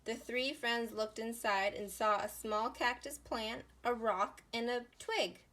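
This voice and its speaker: A woman teacher reading a children's story aloud and explaining it in a deliberate way for first graders. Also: fairly high pitch, fairly even intonation, fairly bright tone. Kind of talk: read-aloud story narration and lesson instruction.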